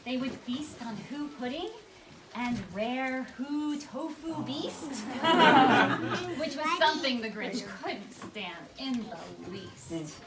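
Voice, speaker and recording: A woman's voice: high voice, slow. A woman hosting a live puppet show, speaking informally to an audience and narrating. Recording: echoey live room, distant mic, loud music and crowd.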